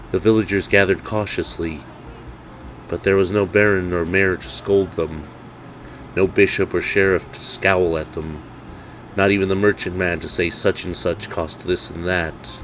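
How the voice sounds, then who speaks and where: Male narrator, very low voice, slow and solemn scripted reading of a dark holiday story, light hum of noise behind. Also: low-quality recording. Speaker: author reading own story.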